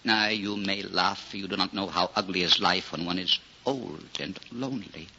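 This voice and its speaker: An actor playing a bitter, grieving old shoemaker in a declamatory 1940s radio drama style. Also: male voice, low voice, normal speed, gravelly, bright, forceful tone, slightly rough, full character voice. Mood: bitter, indignant. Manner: lamenting, declamatory. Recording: dull, noisy 1940s radio recording.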